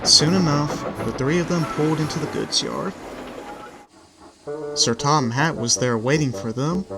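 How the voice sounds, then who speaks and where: A man's voice: a little high, moderate pace, vocal fry, over music. A man narrating a scripted children's story.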